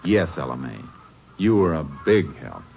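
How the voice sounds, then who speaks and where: Radio western lead: calm, methodical Texas Ranger, very deep, on dull vintage audio. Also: male voice, moderate pace, creaky, heavily acted. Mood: attentive. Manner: calm, probing questioning. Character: steady, persistent male Texas Ranger investigating a crime. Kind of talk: 1940s radio western acting and first-person narration. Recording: muffled old radio recording, music behind.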